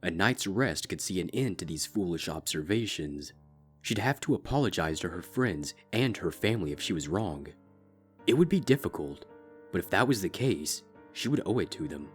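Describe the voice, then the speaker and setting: A man narrating a dark fan fiction story in a steady, measured way. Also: sits low, faint music behind. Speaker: fanfic reader. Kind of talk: scripted narration.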